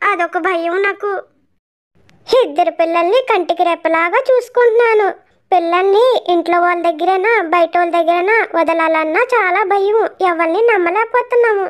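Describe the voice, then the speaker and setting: A woman voicing the anxious women and girls of a village family in a cartoon story, with a childlike voice. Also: very high voice. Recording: loud background music. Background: music.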